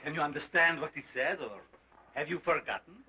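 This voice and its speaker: A man playing a grandiose carnival showman and self-styled scientist, speaking in a booming, theatrical way. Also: lively intonation, fairly quick, very noisy, lightly acted. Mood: solemn. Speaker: sideshow doctor presenting an ape.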